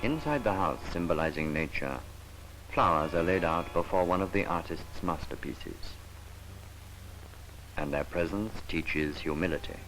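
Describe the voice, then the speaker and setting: Deep-voiced man narrating an old documentary. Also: lively intonation. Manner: solemn, poetic, measured delivery. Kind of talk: scripted 1950s educational film narration. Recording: noisy 1950s archival film soundtrack.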